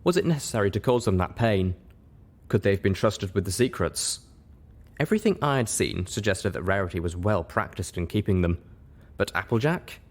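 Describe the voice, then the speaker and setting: A man's voice: lowish voice, animated, normal speed. A man reading a story aloud as its narrator, in scripted first-person narration. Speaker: reader, narrator.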